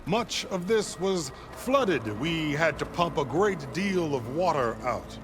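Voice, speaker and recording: An actor playing a zealous, ceremonious devotee of an ancestor cult in a video game, speaking in a reverent, declamatory way. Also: male voice, a little high, very animated, takes it slowly, noisy background. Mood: proud. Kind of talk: video game cutscene acting. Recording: loud music behind the voice. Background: cinematic music.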